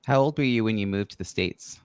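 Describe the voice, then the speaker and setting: A man's voice: fairly low pitch, a little fast, a little mellow, smooth, no room sound. A man hosting a podcast interview, outgoing and talkative, building up long conversational questions phrase by phrase.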